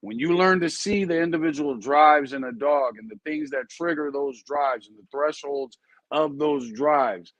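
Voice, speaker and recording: A man's voice: a little high, measured pace, a bit bright, dry recording, muffled recording. A man hosting a casual show, earnestly explaining things with everyday comparisons and checking in with his listeners. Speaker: show host and dog breeder. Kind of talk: casual podcast talk.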